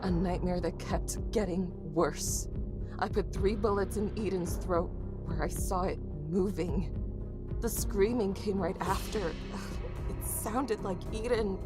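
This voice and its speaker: An actress playing a frightened young woman who narrates a horror audio drama in a confessional, halting way, with music behind her. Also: medium pitch, lightly acted. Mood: tense, haunted. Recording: music bed under the voice.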